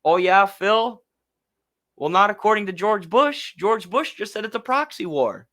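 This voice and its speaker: A man's voice: high-pitched, expressive, a little slow, pushed and bright. A man giving casual, indignant and sarcastic political commentary as the host of a livestream. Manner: indignant, sarcastic, mocks others in put-on voices.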